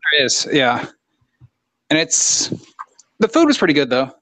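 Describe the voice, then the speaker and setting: Laid-back, blunt man, fairly high voice. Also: wildly swooping pitch, measured pace, bright, forceful tone, no echo, rough recording. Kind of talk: casual chat.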